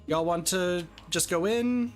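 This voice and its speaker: A man's voice: high voice, slow pace, soft background music. A man reading a visual novel aloud expressively as narrator and protagonist in a gaming video.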